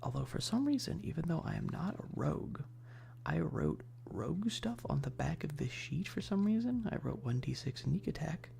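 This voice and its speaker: A man streaming casual ASMR chat in a rambling, hushed way, often dropping toward a whisper, with a gravelly voice. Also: on the high side, sing-song, moderate pace, slight background noise.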